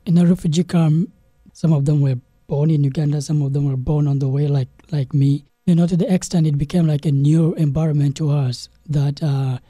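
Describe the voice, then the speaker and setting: Brief: young South Sudanese-born man, dark husky voice, halting, flat delivery, dry close mic. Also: fairly high pitch, no echo. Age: young adult. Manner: halting, many restarts and "you know". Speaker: guest, game developer. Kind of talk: interview storytelling.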